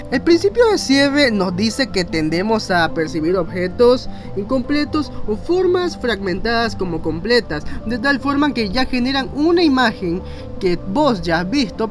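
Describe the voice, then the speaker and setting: A man narrating an informal educational explainer in a conversational, rambling way with self-mocking asides. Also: very high voice. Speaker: video narrator and graphic designer. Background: faint music.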